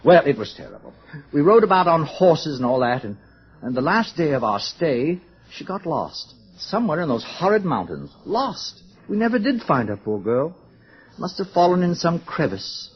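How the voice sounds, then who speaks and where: An actor playing a pompous older male director with a rambling, halting, officious manner in a radio drama. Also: fairly high pitch, sing-song. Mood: wistful, musing. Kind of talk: old-time radio drama acting. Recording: dull, muffled old radio recording.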